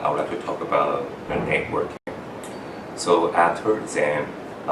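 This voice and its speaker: Man from Taiwan, halting speech full of fillers, steady hum of air conditioning behind, echoey room. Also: a bass voice, recorded from a distance. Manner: halting, frequent "uh" fillers and restarts. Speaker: interviewee, foreign-trained doctor. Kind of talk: earnest personal account to camera.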